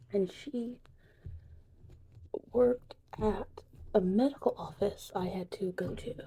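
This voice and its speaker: A woman whispering softly and breathily in an unhurried, chatty ASMR witch potion tutorial roleplay. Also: mid-range voice, gravelly, a little hiss or hum, rough recording. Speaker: ASMR host.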